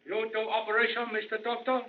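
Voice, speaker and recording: A man's voice: high voice, completely flat delivery, quick pace, close to an everyday voice. An actor playing a general's henchman in an old-time radio drama, delivering curt threats in clipped broken English. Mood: flatly threatening. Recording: tinny, noisy vintage radio recording.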